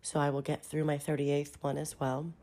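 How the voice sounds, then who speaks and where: A woman's voice: low voice, flat delivery, moderate pace, warm tone. Stuffy-nosed woman in her thirties, chatty. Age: 30s. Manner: chatty, self-deprecating, many fillers. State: stuffy from a cold. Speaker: contributor sending a voice memo. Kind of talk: casual voice memo.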